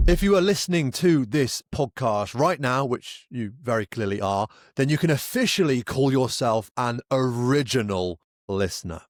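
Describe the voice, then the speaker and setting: A man's voice: mid-range voice, no echo, studio quality. A man hosting a podcast, opening with a scripted introduction and then chatting casually with a guest. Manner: upbeat, promotional, addresses listeners directly. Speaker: podcast host.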